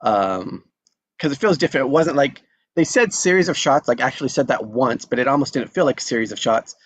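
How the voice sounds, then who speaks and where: A man's voice: mid-range voice, animated, a little fast, no echo. A man hosting a casual online chat after a table read, talking in a chatty, self-deprecating way. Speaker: host.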